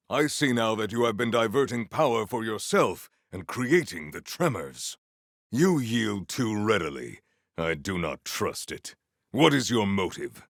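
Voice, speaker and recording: A man playing a solemn, proud warrior hunter in a video game, speaking in formal, stilted, declamatory sentences with a gravelly low voice. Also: big pitch swings, unhurried, no echo, clean studio sound. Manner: formal, stilted, declamatory speech without contractions. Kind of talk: video game character acting.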